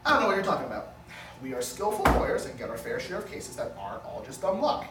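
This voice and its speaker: A man's voice: medium pitch, big pitch swings, fast, natural voice. A man playing a defensive, spiteful lawyer with a sarcastic, profane manner in a comedic script read. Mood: argumentative. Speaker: actor at a script table read. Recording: roomy, distant mic, faint noise.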